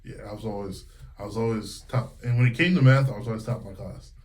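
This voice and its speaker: A man's voice: on the low side, fast talker. A man in his thirties from Connecticut, a singer and music teacher, talking candidly in a casual interview with many fillers. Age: 30s. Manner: relaxed and candid, many fillers and quoted retellings.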